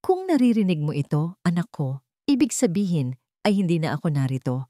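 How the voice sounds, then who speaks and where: A woman's voice: on the low side, sing-song, fairly quick, dark tone, no echo, clean studio sound. A woman voicing a weary, remorseful father leaving a grave but determined last message in an audio drama story. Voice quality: weak, tired.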